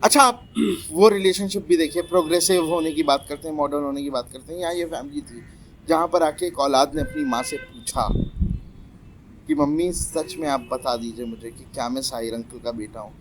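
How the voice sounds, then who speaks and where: A man's voice: on the high side, a little fast, slight background noise, poor audio. A man in a casual literary discussion, speaking with passion and slipping in English phrases. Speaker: panelist on a poetry talk show.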